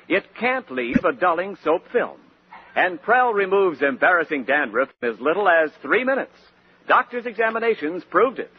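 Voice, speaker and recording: A man's voice: a little high, slightly rough. An American man announcing on 1940s radio, reading news and ad copy in a polished showman's style. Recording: muffled 1940s radio recording, faint hiss.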